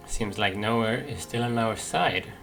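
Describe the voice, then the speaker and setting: Low-voiced man commentating on a game. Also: over music. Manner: halting, thinking aloud. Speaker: gaming video host. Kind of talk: casual playthrough commentary.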